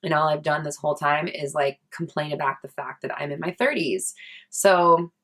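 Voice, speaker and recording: Thirtysomething woman vlogging, low voice, chatty and candid, swears a lot. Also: moderate pace, fairly bright tone. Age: 30s. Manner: candid, chatty, swears freely, frequent likes and restarts. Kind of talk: casual confessional vlog.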